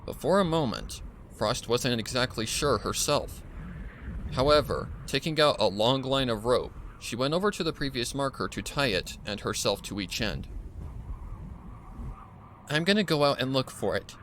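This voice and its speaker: A man's voice: mid-range voice, animated, normal speed, fairly bright tone, a little hiss or hum. A man reading a scripted horror story as a dramatic narrator, with music behind him. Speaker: fan fiction narrator. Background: background music.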